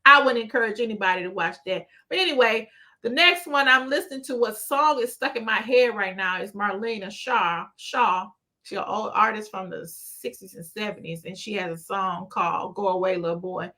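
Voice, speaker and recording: Podcast co-host, woman, crisp bright tone, rants bluntly and swears freely. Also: medium pitch, moderate pace. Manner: blunt, profane, animated ranting. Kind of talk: casual chat.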